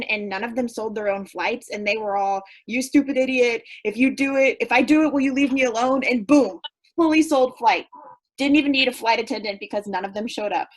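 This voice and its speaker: A woman's voice: fairly high pitch, natural voice. A woman playing an anxious, naive young character who rambles nervously in a staged play reading.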